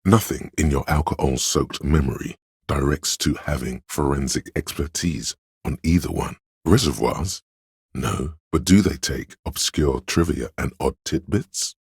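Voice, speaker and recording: Casting: pedantic inner narrator for a game, husky bass, erudite and pompous, measured delivery, close dry sound. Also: male voice, darkish tone, dry recording, exaggerated voice. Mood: dry, condescending. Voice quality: very deep, gravelly, husky. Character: pedantic know-it-all inner voice, a walking encyclopedia of trivia.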